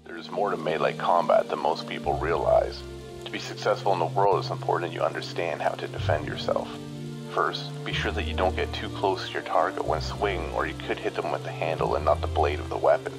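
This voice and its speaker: A very deep, gravelly combat instructor gives stolid, monotone tutorial guidance in a video game. Music and rain play clearly behind the voice. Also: male voice, a little fast. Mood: stolid, instructional. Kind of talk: scripted tutorial narration.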